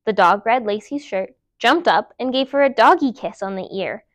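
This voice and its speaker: A woman in her thirties reading a children's picture book aloud in an expressive, emphatic storytime style. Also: fairly high pitch, dry recording. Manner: animated, emphatic, deliberate storytelling. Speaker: library storytime reader. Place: indoor room, seated before a dark wall. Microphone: clip-on lapel mic.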